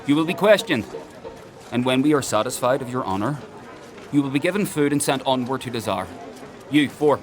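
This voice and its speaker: Fantasy drama officer: male actor as cold, declamatory authority figure, mid-pitched, addressing a clearly audible outdoor crowd. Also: lively intonation, fairly quick, natural voice. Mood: commanding. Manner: declamatory, formal, commanding. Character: stern male official ordering refugees about, coldly authoritative. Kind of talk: dark fantasy audio drama acting.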